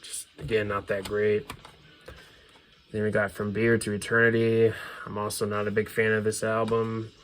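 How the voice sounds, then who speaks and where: A man's voice: on the low side, completely flat delivery, moderate pace. A man giving casual, unscripted commentary as a video host, offhand and hedging his opinions. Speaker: video host showing a music CD collection. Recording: clear background noise.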